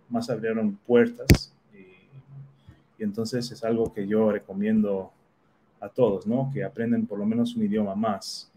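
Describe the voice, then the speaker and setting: A man's voice: on the low side, warm tone, slight background noise. A man in his thirties, born in the Netherlands and a native Portuguese speaker, answering interview questions thoughtfully with many fillers. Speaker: guest. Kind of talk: casual video-call interview. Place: small home office.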